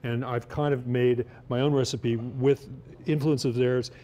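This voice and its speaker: A man's voice: medium pitch, steady tone, measured pace, warm tone. A man speaking as a guest cook, casually explaining dishes with some hesitant phrasing during a TV cooking segment. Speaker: guest cookbook author and cook. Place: TV kitchen segment.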